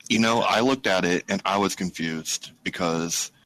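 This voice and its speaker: A man's voice: low-pitched, moderate pace, a bit bright, rough recording. A man co-hosting a podcast, chatting casually in a laid-back, wry way. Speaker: podcast co-host. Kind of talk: casual chat.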